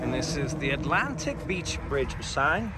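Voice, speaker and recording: A man's voice: a little high, very animated, measured pace. A man narrating a walking tour in a chatty, digressive way, recorded outdoors on a camera mic while he walks. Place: outdoors on a bridge footpath by the sea. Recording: outdoor street noise. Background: traffic and wind noise.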